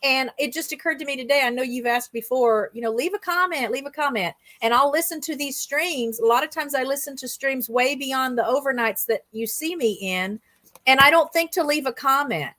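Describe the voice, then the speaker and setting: Female co-host, high-pitched, informal conversational delivery for a livestream. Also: brisk, fairly bright tone. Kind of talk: casual chat.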